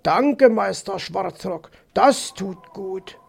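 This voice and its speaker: A man voicing a dazed but gallant and gentle male fox in a storybook, polite and friendly. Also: high-pitched, lively intonation, slow, creaky, husky, full character voice. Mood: grateful.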